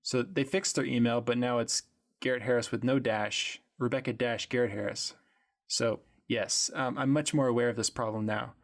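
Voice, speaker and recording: A man hosting a podcast, telling a story in relaxed, wry casual chat. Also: mid-range voice, moderate pace. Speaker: podcast host.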